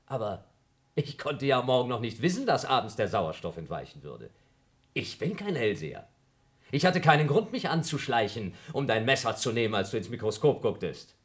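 A man's voice: mid-range voice, very animated, normal speed. An actor in a radio play, playing a coldly logical younger man who accuses his companion and grows frantic. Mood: cold accusing. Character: cold, suspicious younger man trapped with his rival. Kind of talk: broadcast radio play acting.

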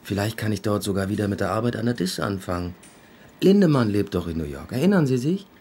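An actor playing a defensive, evasive young literature academic in a radio drama, with a husky voice and a dry, guarded manner. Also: male voice, lowish voice, animated, fast, dark tone.

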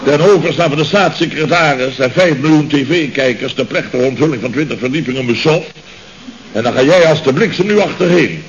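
A man's voice: medium pitch, a little fast, close to an everyday voice. A man playing an exasperated, sarcastic building-firm boss in a radio comedy, blustering and incredulous. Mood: exasperated sarcasm. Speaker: comedy actor. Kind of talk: 1970s Dutch radio comedy acting. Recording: muffled, noisy old radio recording.

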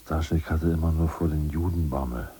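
An actor playing a fidgety ousted Politburo member who keeps whispering and wants to sneak a look around. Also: male voice, extremely low pitch, fairly even intonation, brisk, mellow, breathy edge, exaggerated voice. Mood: gossiping. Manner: restless, whispering. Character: nervous ousted male party official, fidgety. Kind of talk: 1980s radio play acting.

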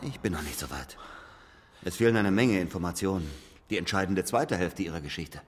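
An actor playing a sceptical, probing medium in a radio drama, dry, challenging and sardonic. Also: male voice, low voice, lively intonation, a little fast, slightly rough, long reverb tail. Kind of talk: German radio drama acting.

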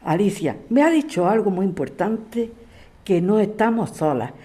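A woman plays a resolute, pleading mother in a radio drama. Her voice is mellow and medium in pitch, with an accent from Spain. She reassures and insists. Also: very animated, normal speed, lightly acted. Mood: reassuring, insistent. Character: resolute, pleading mother, tired of running, calming daughter. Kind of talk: acted audio drama dialogue.

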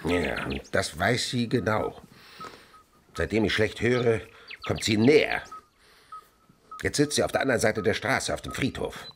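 A man's voice: fairly low pitch, sing-song, a little slow, long pauses, a bit bright, slight background noise, exaggerated voice. An actor playing a cranky, stubborn old father in a radio play, grumbling and muttering, then abruptly calling out. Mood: grumpy, stubborn. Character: cranky, stubborn old father, hard of hearing, whimsically poetic. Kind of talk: German radio play acting.

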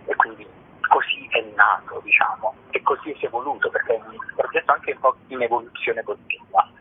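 A man, speaking reflectively as an interview guest, with halting phrasing and searching pauses. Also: medium pitch, a little hiss or hum. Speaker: guest, singer and stage performer. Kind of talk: spontaneous radio interview. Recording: muffled phone line into radio.

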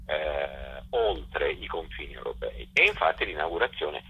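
A man giving an unscripted radio interview, presenting a programme in long enumerating explanations with hesitant pauses. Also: extremely low pitch, sing-song, slightly rough. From Italy. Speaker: festival director being interviewed. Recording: muffled, band-limited phone line.

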